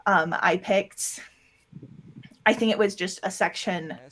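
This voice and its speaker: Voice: medium pitch, steady tone, slow, a bit bright. A woman speaking casually as an interview guest, explaining audio drama production in a rambling way with quoted asides. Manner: rambling, with self-quoting asides.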